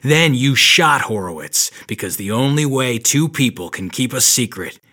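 An actor playing a world-weary, dry private detective in a 1930s noir audio drama, terse and tough with a sardonic edge. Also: male voice, medium pitch, expressive, normal speed, pushed and bright, slightly rough. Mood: accusing, hard.

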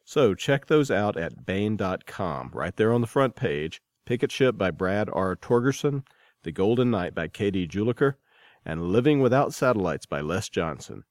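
A man with a fairly low voice presents a podcast from a script. The sound is dry, with little room around him. He delivers it as a host introducing segments and news. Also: big pitch swings, moderate pace, no room sound.

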